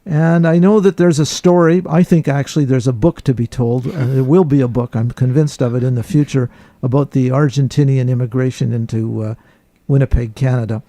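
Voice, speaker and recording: Mellow, gravelly male radio host. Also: medium pitch, steady tone, fairly quick, hardly any pauses, no echo. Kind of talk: radio interview, addressing listeners.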